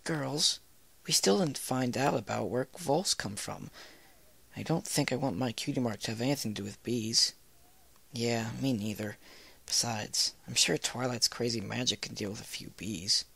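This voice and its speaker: A man's voice: medium pitch, normal speed, creaky, sharp tone, husky, heavily acted. A man voicing curious, blunt young girl ponies in a fan fiction reading, eager and plain-spoken. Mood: matter-of-fact. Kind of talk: fan fiction read aloud in character.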